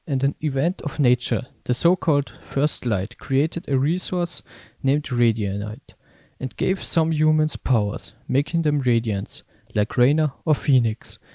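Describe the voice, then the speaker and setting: Mellow, slightly deep man narrating. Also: no echo. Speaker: video essayist and game reviewer. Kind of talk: scripted narration.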